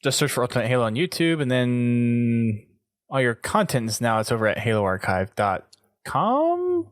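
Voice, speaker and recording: A man's voice: mid-range voice, very animated, moderate pace, no echo, studio quality. A man hosting a gaming podcast in a casual, conversational and enthusiastic way. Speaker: podcast host.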